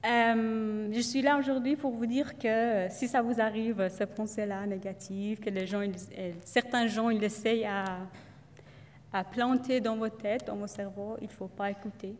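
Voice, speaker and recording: Young woman, second-language speaker, halting testimonial talk, medium pitch, reverberant hall. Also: steady tone. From Iran. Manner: hesitant, many fillers and restarts. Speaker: student speaker.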